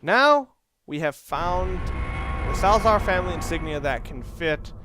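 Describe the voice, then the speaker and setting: A man's voice: high-pitched, expressive, a little slow, noisy background. A man giving casual, offhand and somewhat rambling commentary while playing a video game. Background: video game music.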